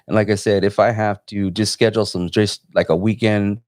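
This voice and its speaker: Easygoing guy in his 40s, kinda low voice, rambles on, dry close sound. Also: fairly even intonation, fairly quick, a little mellow, dry recording. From San Jose, California. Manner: laid-back, meandering. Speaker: host. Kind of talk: live interview by phone.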